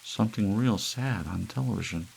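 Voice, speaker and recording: A man's voice: fairly low pitch, moderate pace, gravelly, darkish tone, voice pushed up, rough recording. Man putting on a simple, forgetful housewife. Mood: vague, artless. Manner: simple, artless, vague. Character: Hazel, simple, forgetful, good-natured housewife. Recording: faint hiss with rain sound.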